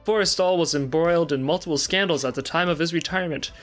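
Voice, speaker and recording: Higher-pitched man with a bright voice. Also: quick pace, soft background music. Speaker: guest on a charity stream. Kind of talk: reading a fictional report aloud on a livestream, with casual chat.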